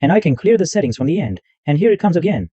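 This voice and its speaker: A man hosting a screen-recorded software tutorial, narrating every click step by step in loose, repetitive wording. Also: a little high, sing-song, fast talker, breathy edge, dry recording. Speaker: tutorial host. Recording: dull lo-fi dry mic sound.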